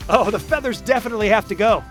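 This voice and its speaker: A woman's voice: medium pitch, fast talker, soft background music, close to an everyday voice. A woman playing a cheery, salesy makeover-show host.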